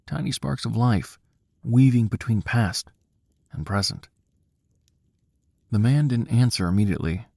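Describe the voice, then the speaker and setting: A man narrating a scripted story in a cinematic, dramatic style, with a measured, solemn, hushed manner. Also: mid-range voice, creaky, dry recording, clean studio sound.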